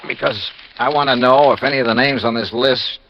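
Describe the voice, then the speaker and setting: A man's voice: medium pitch, fairly even intonation, fairly quick, a little hiss or hum, lightly acted. An actor playing a persistent, wry American insurance investigator in a classic radio detective drama, clipped and dry in his delivery. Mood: probing. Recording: dull, muffled old radio recording.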